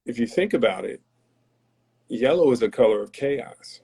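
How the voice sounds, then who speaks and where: A man's voice: mid-range voice, lively intonation, normal speed, dark tone, breathy edge. A man from North Carolina, a podcast guest talking in an earnest, philosophical, somewhat preachy way. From Tarboro, North Carolina. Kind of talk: reflective conversational talk.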